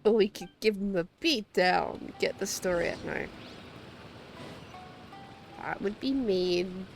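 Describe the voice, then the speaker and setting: A woman's voice: mid-range voice, slow, gravelly. A woman game streamer giving casual live commentary with wry, slangy asides. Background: game music.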